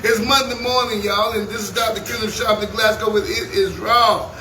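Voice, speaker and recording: A man in his thirties hosting a lively morning radio show, talking in a playful, preacherly way with rhymes. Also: sits high, moderate pace, echoey room, distant mic, noisy background. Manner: preacherly, rhyming, playful banter.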